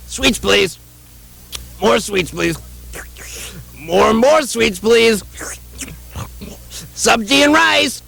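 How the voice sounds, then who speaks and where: A man voicing a puppet of a fussy, gluttonous, sanctimonious holy man, insistent and repetitive, with a raspy, creaky voice in a theatrical show style. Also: high voice, fairly bright tone, heavily acted. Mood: greedy delight.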